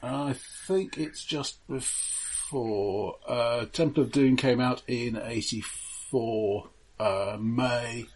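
A man's voice: mid-range voice, drawn-out words, low-quality recording. A man co-hosting a casual film discussion, speaking in an analytical, somewhat hesitant way with care over details. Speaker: podcast co-host.

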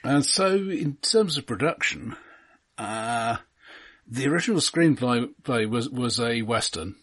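A man co-hosting a casual film discussion, speaking haltingly and often stammering over repeated words. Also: mid-range voice, animated. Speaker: podcast co-host.

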